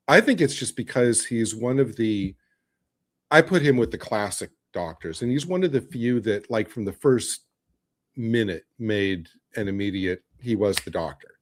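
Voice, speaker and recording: A man talking casually as a guest in a fan discussion podcast. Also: fairly low pitch.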